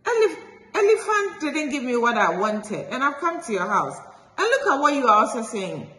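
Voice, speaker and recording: A rude, boastful tiger , put on by a woman storyteller, jeering at the other animals in a high, piercing voice. He sounds bright and cutting. The room around him rings with a long echo. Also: very animated, moderate pace, full character voice. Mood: insulting. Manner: taunting, shrill, scolding. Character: rude boastful male tiger, loud and mocking.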